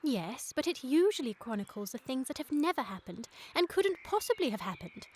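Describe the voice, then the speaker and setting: A dreamy, politely contrary teenage Victorian pupil. Her voice is very high and animated, with artless, precise phrasing suited to period radio comedy. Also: moderate pace, a little hiss or hum. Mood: earnest.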